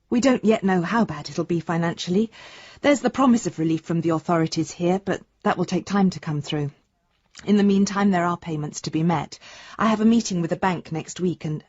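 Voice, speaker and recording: A woman's voice: low voice, a little mellow, breathy edge, no echo, muffled recording. An actress playing a candid, affectionate grown daughter in a radio drama, speaking a letter to her father in an intimate, reflective way. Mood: worried.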